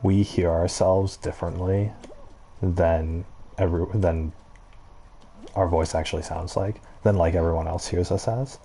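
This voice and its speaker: A man with a low-pitched voice giving casual gameplay commentary, rambling in a halting way and circling back on his thoughts. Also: steady tone, gravelly, dark tone, a little hiss or hum. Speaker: gaming video creator.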